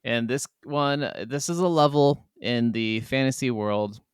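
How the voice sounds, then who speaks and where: A man's voice: mid-range voice, measured pace, smooth, no room sound, clean studio sound. A man co-hosting a podcast, chatting casually about video games in a rambling, enthusiastic way. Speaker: podcast co-host.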